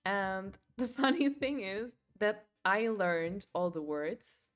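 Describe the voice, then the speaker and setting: A young woman talks loosely through a crafting livestream at an ordinary pitch. She rambles and scatters "like" and "um" through her sentences, laughing often between thoughts. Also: animated, takes it slowly, soft background music. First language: German. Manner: chatty, rambling, filler-heavy, laughs often. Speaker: livestream host. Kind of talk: casual chat while crocheting.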